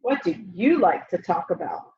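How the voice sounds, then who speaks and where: A young woman reading a children's picture book aloud in an expressive way, often putting questions to young listeners. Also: on the low side, moderate pace, breathy edge. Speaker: storybook reader. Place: room at home, indoors. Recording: dull, lo-fi home webcam audio.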